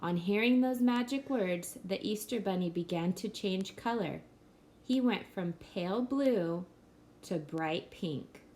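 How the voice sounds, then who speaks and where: A woman reading a children's picture book aloud in a gentle storybook manner. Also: medium pitch, slow pace.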